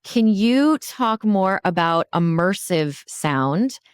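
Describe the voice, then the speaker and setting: A woman's voice: mid-range voice, animated, slow, clean tone, dry recording, studio quality. A woman hosting a casual interview, encouraging and attentive toward her guest. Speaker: podcast host. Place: remote recording over internet software.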